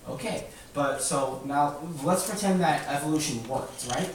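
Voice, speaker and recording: A man's voice: a little high, unhurried. Man, casual teacher to kids, simple explanations, lots of prodding questions, very echoey, distant mic. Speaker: informal teacher to children. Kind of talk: casual question-led lesson. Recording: echoey room, distant mic, faint noise.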